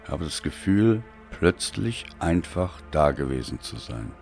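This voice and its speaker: A male audiobook narrator with an extremely deep voice delivers dark, dramatic prose. He is slow, deliberate and brooding, with theatrical weight on his words. Music plays behind him. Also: big pitch swings.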